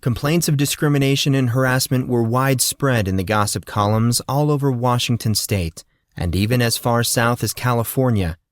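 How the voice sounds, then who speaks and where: A man reading an audiobook in a steady, measured storytelling manner. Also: medium pitch, no echo, studio quality. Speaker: audiobook narrator. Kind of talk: scripted audiobook narration.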